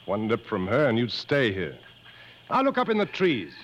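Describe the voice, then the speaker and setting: A man's voice: medium pitch, huge pitch swings, normal speed. An actor playing a seasoned, world-weary male jungle guide in a radio drama, speaking in a knowing, didactic, dryly fatalistic way. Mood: knowing, instructive. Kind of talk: mid-century American radio drama acting. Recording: dull vintage radio recording, faint noise.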